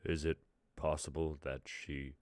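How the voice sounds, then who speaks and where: A man's voice: very deep voice, little pitch movement, measured pace, a little mellow, no echo. A man voicing Dova, a cautious, practical fighter.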